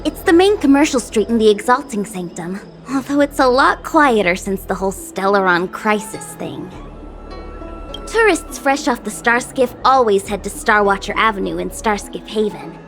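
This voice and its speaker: A woman's voice: medium pitch, animated, moderate pace. A young woman voicing a perky, chatty, slightly lazy young character in a video game, with a breezy colloquial delivery. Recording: studio voice over faint game music. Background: soft game music.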